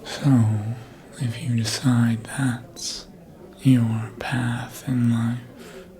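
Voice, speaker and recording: A performer voicing a seductive, calculating vampire mentor in a slow, deliberate, intimate whisper for an ASMR roleplay. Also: mid-range voice, little pitch movement, dark tone. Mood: coaxing, admiring.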